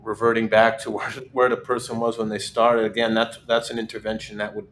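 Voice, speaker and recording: Male webinar host, low even delivery, relaxed and conversational, faint hum. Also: a little fast. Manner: relaxed, steady, conversational with repeated restarts. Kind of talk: casual webinar chat.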